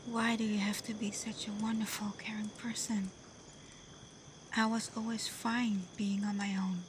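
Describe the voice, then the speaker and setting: A woman playing a gentle, devoted kitsune in love in an intimate ASMR roleplay, speaking tenderly and hesitantly, often dropping to a breathy whisper. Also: mid-range voice, little pitch movement, unhurried, background noise, lightly acted. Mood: tender, torn. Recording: faint cricket and night insect ambience.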